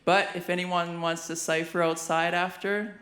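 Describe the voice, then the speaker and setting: A woman's voice: fairly low pitch, level tone, moderate pace, fairly bright tone. The Canadian woman emceeing a local arts awards night, chatting to the room in a playful, giggly, casual way. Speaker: master of ceremonies at an awards show; hip hop artist, rapper. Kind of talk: casual stage banter.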